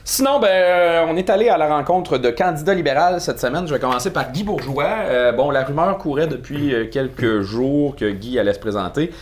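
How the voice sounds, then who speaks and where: A man's voice: fairly high pitch, lively intonation, fairly quick, hardly any pauses. A man with a Québécois French accent, co-hosting a local news chat in a chatty, easygoing way. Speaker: show co-host. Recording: faint background noise.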